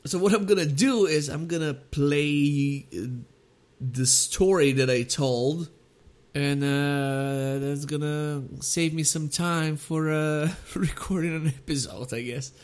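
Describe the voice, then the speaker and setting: A man's voice: fairly high pitch, slow. A man, hosting his own podcast in a casual, rambling, self-deprecating monologue full of hesitant fillers. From Bulgaria. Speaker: podcast host and comedian.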